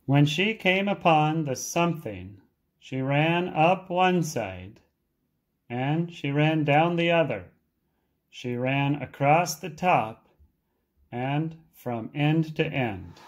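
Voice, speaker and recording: An English teacher reading a storybook aloud for learners, with deliberate, expressive and emphatic phrasing. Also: mid-range voice.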